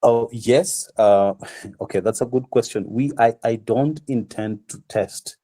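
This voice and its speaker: A slightly hoarse man giving a university lecture, explaining step by step with frequent pauses and fillers. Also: medium pitch, mellow. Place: classroom.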